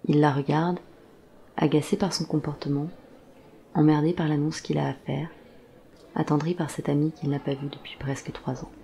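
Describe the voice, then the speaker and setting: A narrator of a scripted audio drama, telling the story in a measured, literary manner. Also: medium pitch, little pitch movement, creaky, warm tone, slight background noise. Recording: faint background ambience.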